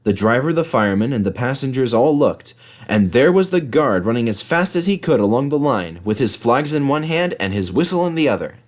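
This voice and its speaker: Animated man reading a children's story. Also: mid-range voice, a little fast. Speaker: storybook reader. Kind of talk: scripted children's story narration.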